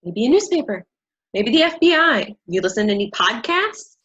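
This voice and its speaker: An actress in a staged play reading, playing a haughty, paranoid boss of a scheme with condescending theatrical delivery. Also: female voice, on the high side, sing-song, moderate pace, a bit bright, breathy edge, dull audio, heavily acted. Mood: goading.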